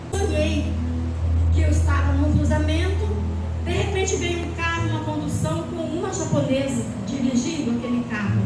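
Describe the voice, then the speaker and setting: A Brazilian woman giving an earnest, emotional testimony to a church congregation. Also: high-pitched. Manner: earnest, emotional storytelling with pauses. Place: church hall. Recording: very echoey church from far off, loud music. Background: loud music under the speech.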